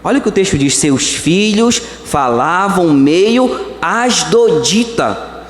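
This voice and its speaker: A Brazilian man preaching a sermon as pastor, swinging between slow emphatic words and quick phrases. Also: high-pitched, expressive, a little hiss or hum. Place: church hall. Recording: echoey church hall.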